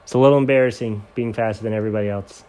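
A man's voice: fairly low pitch, fairly even intonation, very fast, dark tone, clear, resonant tone, natural voice. A man doing a bashful turtle hero. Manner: bashful, matter-of-fact. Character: modest super-fast male turtle hero.

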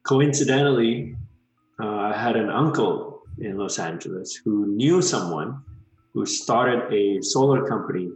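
Relaxed man from Malaysia, lower voice. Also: a little slow, reverberant, soft background music, poor audio. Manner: relaxed, frequent "um" and "you know" fillers. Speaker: guest. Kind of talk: casual interview.

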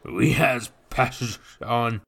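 A man voicing a rough, uneducated coachman who bellows impatiently in a storybook reading. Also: medium pitch, slow pace, a bit bright, voice pushed up, heavily acted. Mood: gruff. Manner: bellowing, ungrammatical.

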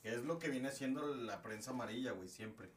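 A man's voice: medium pitch, brisk, a little hiss or hum. A young man with a Mexican accent chatting casually on a podcast, tossing in slang fillers after nearly every phrase. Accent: Mexican Spanish. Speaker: podcast co-host. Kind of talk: casual chat.

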